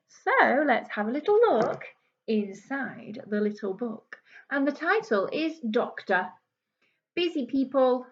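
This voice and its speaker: A woman with a fairly high voice reads a picture book to young children as a teacher would. She explains things in simple words and puts questions to her listeners. The recording is a little dull and lo-fi. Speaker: primary school teacher. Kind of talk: read-aloud storybook lesson for young children. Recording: dull lo-fi small camera recording.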